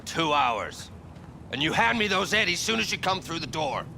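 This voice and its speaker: An actor playing a hostile, drunken, bullying father in a video game, gravelly and belligerent. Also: a little high, very animated, normal speed, pushed and bright, slight background noise, full character voice. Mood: furious, bullying. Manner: belligerent, swearing, barking orders.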